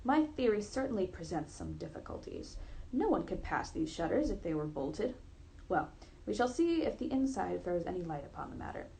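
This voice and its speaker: Woman reading as a keen, gravelly male detective. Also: fairly low pitch, huge pitch swings, moderate pace, mellow, exaggerated voice, poor audio. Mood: pondering. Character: keen, probing male detective, brisk and confident. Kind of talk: storybook reading.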